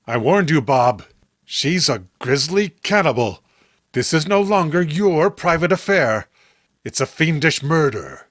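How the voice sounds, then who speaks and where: A man's voice: a little high, sing-song, unhurried, a bit bright, no echo, clean studio sound, full character voice. A man playing a horrified, indignant man in a horror comic, speaking in a formal, melodramatic, emphatic way. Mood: grave, accusing. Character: horrified, indignant man confronting his deranged friend. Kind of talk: horror comic read-along acting.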